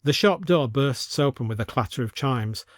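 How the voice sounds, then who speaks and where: Guy narrating a scripted story, mid pitch, very dry recording. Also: lively intonation, moderate pace, no room sound.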